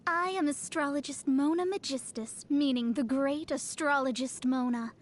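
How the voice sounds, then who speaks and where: A woman's voice: high voice, fairly even intonation, moderate pace, slight background noise, lightly acted. A woman voicing a proud, formal young female astrologer in an anime game, with precise, theatrical diction. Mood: haughty, solemn. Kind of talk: anime game character voice lines.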